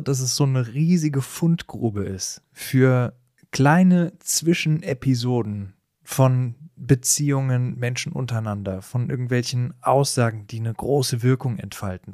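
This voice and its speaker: A man's voice: medium pitch, darkish tone, dry recording, studio quality. A man co-hosting a podcast, telling stories in a casual, reflective and explanatory way with colloquial asides. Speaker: podcast co-host. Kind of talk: casual conversational podcast storytelling.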